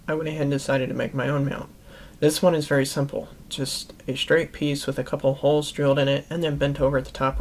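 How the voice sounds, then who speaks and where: A man's voice: on the high side, slight background noise. A man hosting a how-to video, giving instructional narration to viewers. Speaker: host of a how-to product video series.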